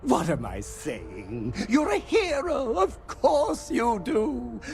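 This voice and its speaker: A woman's voice: on the high side, huge pitch swings, exaggerated voice. An actress playing an obsessive, theatrically adoring female superfan of a space hero in a video game cutscene. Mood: eager, pleading. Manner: theatrical, breathless adoration, swooping from shouts to drawn-out awe. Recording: music behind the voice.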